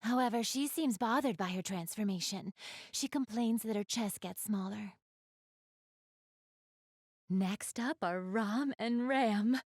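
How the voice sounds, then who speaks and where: A woman's voice: mid-range voice, slow pace, long pauses, dry recording, clean studio sound. A woman playing an elegant, doting big-sister goddess in a video game, speaking in polite, formal, refined phrases straight to the listener. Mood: proud, doting. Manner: polite, formal, refined diction, gushing over what she adores. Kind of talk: video game character acting, addressing the listener.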